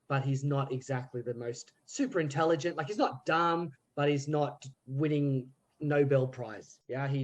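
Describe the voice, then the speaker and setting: A man with a voice of ordinary pitch talks as a guest on a podcast. He is hesitant, thinking aloud and filling pauses with ums and uhs. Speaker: theatre group member, guest. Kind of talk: casual podcast chat.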